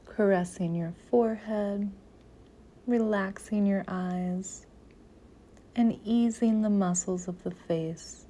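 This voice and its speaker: Really slow gentle lady doing guided meditation, mellow soft voice, kinda breathy at the ends of phrases. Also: medium pitch. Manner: soft, gentle, soothing delivery with breathy, near-whispered phrase endings.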